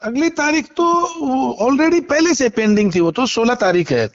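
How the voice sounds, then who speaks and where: High-pitched male lawyer on muffled call. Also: expressive. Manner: halting, repeats phrases, polite frequent acknowledgements. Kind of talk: phone interview, explaining a case. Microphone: phone call. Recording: muffled, lo-fi phone call recording.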